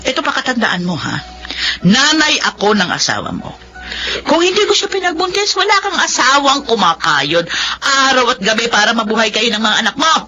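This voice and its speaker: A woman's voice: a little high, very animated, a little fast, pushed and bright. An actress playing a domineering older mother-in-law in a radio drama, scornful and cutting. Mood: scolding. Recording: lo-fi with faint hiss.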